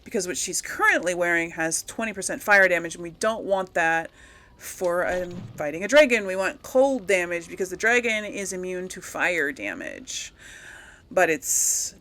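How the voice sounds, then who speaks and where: A woman's voice: on the low side, expressive, moderate pace, pushed and bright. A woman doing casual game commentary, rambling and thinking aloud as she talks to herself. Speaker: gaming video host.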